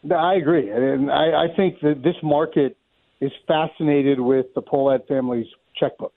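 A man's voice: fairly high pitch, fairly even intonation, normal speed, creaky, no echo. A man giving conversational sports analysis as a baseball announcer being interviewed, wordy and repeating words as he thinks aloud. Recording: thin phone-like line.

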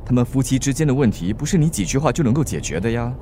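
A man's voice: mid-range voice, very animated, rapid-fire, mellow, slight background noise. A man with a Singaporean accent playing a practical, reassuring friend in a radio drama, mixing in English words. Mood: consoling. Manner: colloquial, sprinkles English words into speech. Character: level-headed, reassuring male friend, practical peacemaker.

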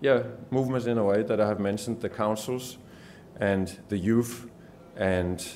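A man's voice: fairly low pitch, unhurried, a little mellow, a little hiss or hum. A man with a Danish accent giving an earnest conference speech as a journalist, often restarting and repeating words. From Denmark. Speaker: journalist giving a summit talk.

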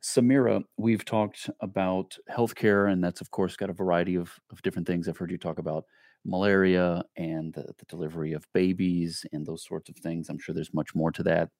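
Very deep-voiced male podcast host. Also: flat delivery, moderate pace, darkish tone, no room sound, clean studio sound. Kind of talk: interview podcast hosting.

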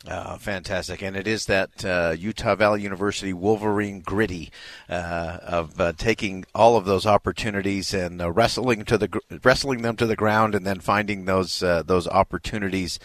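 A man's voice: sits low, fairly even intonation, moderate pace, a bit bright, no echo. A man hosting a live radio broadcast, speaking in an earnest, affirming way as he interviews and comments. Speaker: radio host.

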